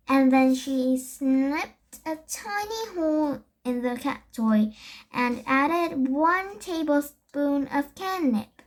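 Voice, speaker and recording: Young child reader, very high voice, slow and halting, for a children's book read-aloud. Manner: halting, stumbles and corrects words. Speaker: storybook reader.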